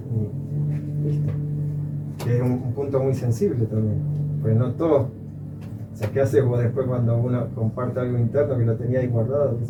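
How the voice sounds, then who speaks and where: A man with an Argentine accent talking casually and reflectively about coffee culture, rambling and often restarting his sentences. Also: medium pitch. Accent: Argentine Spanish. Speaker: coffee educator. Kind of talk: casual conversation. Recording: echoey room, far from mic, dull sound, loud music.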